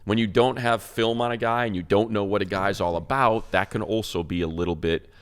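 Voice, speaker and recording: A man's voice: fairly low pitch, steady tone, brisk, clean tone. A man working as a sports broadcaster, giving opinionated, conversational football analysis in a casual panel chat.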